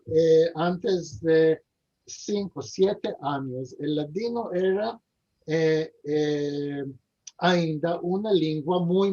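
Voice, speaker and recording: Slow, halting man on a dull video call. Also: fairly high pitch, mellow. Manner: halting, many fillers and repeated words. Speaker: interview guest. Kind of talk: hesitant conversational interview.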